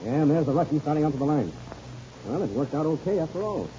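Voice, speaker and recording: Fast-talking, decisive pilot mentor. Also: male voice, fairly high pitch, very animated, warm tone. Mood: relieved. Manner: brisk, slangy instructions. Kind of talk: 1930s radio adventure serial acting. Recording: dull, noisy old radio recording.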